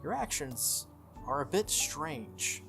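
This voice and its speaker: A game streamer voicing a calm, formal young female warrior with measured, composed speech. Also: mid-range voice, gravelly, full character voice. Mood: calm advising. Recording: music under the voice.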